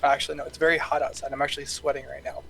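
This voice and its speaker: A man chatting casually in a deadpan way over voice chat. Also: medium pitch, very fast. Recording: clearly noisy voice chat.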